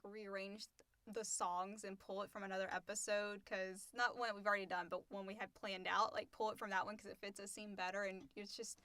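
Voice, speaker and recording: A woman's voice: mid-range voice, pushed and bright, no echo. A woman co-hosting a casual question and answer podcast, speaking in an informal conversational style. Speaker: podcast co-host reading listener questions. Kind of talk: casual Q&A chat with read-out questions.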